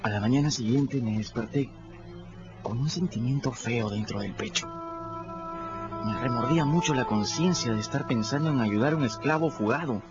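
A man's voice: big pitch swings, moderate pace. A man narrating in first person for a radio drama, earnest and reflective. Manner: earnest, reflective, rises in pitch when moved. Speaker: narrator voicing a boy. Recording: muffled radio audio with music.

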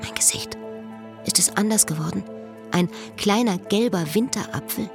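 A woman's voice: medium pitch, normal speed, gravelly, over music, lightly acted. An actress playing a lovesick, restless woman who speaks her inner thoughts in a radio drama. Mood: intimate, brooding.

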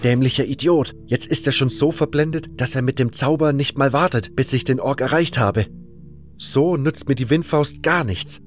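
Irritable, sarcastic hero for fantasy radio play, man performing, annoyed bite, pitch pushed up, music bed. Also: moderate pace, in a large hall, natural voice. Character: sarcastic, exasperated male adventurer, reluctant and irritable. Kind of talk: fantasy audio drama acting.

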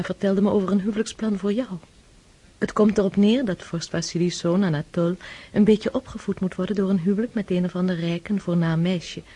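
Young society lady, soft dark timbre, breathy, confiding, romantic gossip for period radio drama. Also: mid-range voice. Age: young adult. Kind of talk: Dutch radio drama acting, reading a letter.

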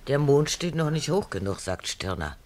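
An actress in a 1950s radio drama playing a plain-spoken, sceptical farm maid with a subdued, matter-of-fact manner. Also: female voice, low voice, sing-song, normal speed, a little mellow.

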